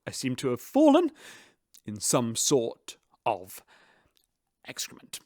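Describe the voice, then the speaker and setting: An actor playing a bumbling, pompous ship's captain in a comic radio drama, theatrical and prone to faltering awkwardly. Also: male voice, medium pitch, huge pitch swings, takes it slowly, a little mellow. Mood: triumphant, then awkward.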